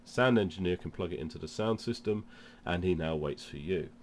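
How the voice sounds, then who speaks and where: Male demo presenter, deep register, has a cold, informal step-by-step instruction, noisy recording. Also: a little fast. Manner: step-by-step explaining. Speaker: presenter of product demonstration.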